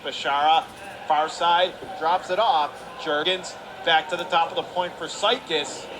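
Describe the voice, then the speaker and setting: Man, high-pitched voice, live hockey radio play-by-play, clear arena noise behind him. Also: steady tone, unhurried. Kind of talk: live radio sports commentary.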